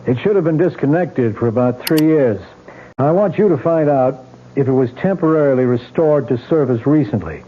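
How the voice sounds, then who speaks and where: An actor playing a skeptical but decent police lieutenant, probing and authoritative, in a radio drama. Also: male voice, medium pitch, moderate pace, slight background noise, close to an everyday voice. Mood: commanding. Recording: narrow-band old radio recording, faint music.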